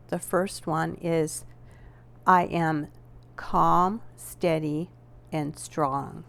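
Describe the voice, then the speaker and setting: A woman leading a guided relaxation as a yoga nidra instructor, giving gentle, measured instructions with deliberate pauses. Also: on the low side, vocal fry.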